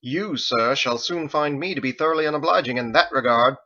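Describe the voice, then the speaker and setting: A man's voice: mid-range voice, brisk, pushed and bright, dull audio, pitched above the performer's own voice. A man voicing a pompous, verbose, prim young paladin churchman in an audiobook, with florid, fussy, over-formal diction. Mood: indignant. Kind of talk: audiobook character voice.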